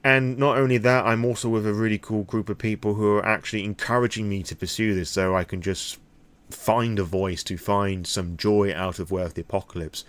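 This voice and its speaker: A British man rambling candidly through an impromptu vlog, opinionated and freely swearing. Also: lowish voice. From Britain. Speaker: vlogger.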